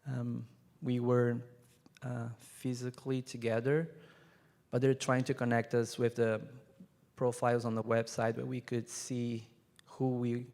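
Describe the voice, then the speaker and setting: Brazilian-born man, flat gravelly delivery. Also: mid-range voice. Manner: earnest, measured, lists ideas point by point. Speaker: conference witness speaker. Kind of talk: reflective closing remarks on stage. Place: large conference hall stage. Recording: hall reverb from a large room.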